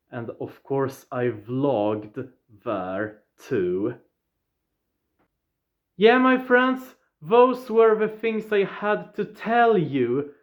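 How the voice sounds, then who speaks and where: A man of twenty-five with a Swedish accent, talking straight to his viewers in a plain, deliberate way, often opening with "yeah.". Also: on the high side, lo-fi sound. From southern Sweden. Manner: deliberate, plain phrasing, starts points with "yeah". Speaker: vlogger. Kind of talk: casual personal recap to viewers.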